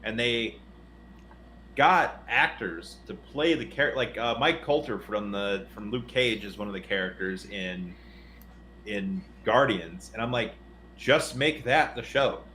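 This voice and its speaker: Man, fairly low pitch, crisp bright tone, podcast panelist, relaxed conversational delivery. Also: measured pace, slight background noise. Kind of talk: casual podcast chat.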